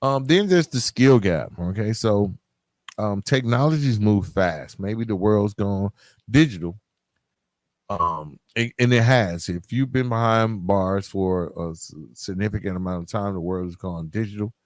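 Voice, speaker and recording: A man's voice: on the low side, a little slow, no room sound. A middle-aged man hosting a podcast, talking informally and directly to his listeners in a conversational solo monologue. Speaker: podcast host.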